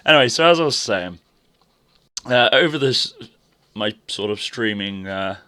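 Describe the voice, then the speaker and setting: Hoarse, low-voiced male game streamer. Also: expressive, unhurried, sharp tone. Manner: chatty, self-deprecating, rambling asides. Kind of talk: casual live-stream chat.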